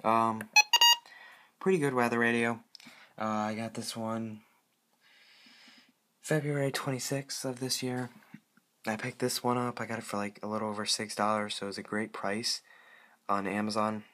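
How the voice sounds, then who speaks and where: A man presenting his hobby in a casual, unscripted show-and-tell, halting and correcting himself as he goes. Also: on the low side, flat delivery, a bit bright. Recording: clearly noisy amateur home recording.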